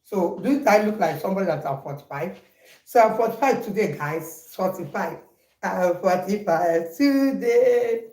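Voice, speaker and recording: Nigerian woman, 40s, relaxed home vlogger; unhurried, repetitive chatter in a roomy space. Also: mid-range voice. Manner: chatty, repeats herself playfully. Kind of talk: casual birthday vlog to viewers. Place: in her home.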